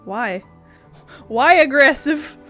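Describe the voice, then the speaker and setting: A woman's voice: sits high, animated, slow pace, a bit bright, soft background music. A woman hosting a gaming video with casual commentary, informal, playful and sweary.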